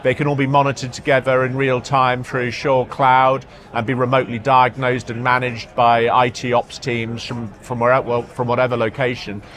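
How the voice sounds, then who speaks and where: A man speaking as an executive in a product interview, halting, often repeating words and hesitating as he explains. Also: medium pitch, flat delivery, fairly bright tone. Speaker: marketing executive being interviewed. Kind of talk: product interview at a trade show. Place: trade show floor.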